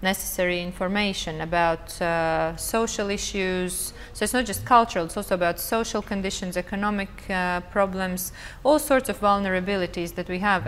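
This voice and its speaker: A woman on a conference panel, speaking thoughtfully with frequent pauses and fillers. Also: medium pitch, a bit bright. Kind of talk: panel discussion. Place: conference hall. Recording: reverberant hall with faint noise.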